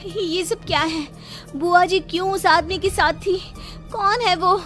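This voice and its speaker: A woman's voice: very high-pitched, a little slow, fairly bright tone, faint music behind. A woman voicing an anxious young college girl with eerie premonitions in a horror cartoon, earnest and dramatic. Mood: alarmed, confused. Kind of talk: Hindi horror cartoon dubbing.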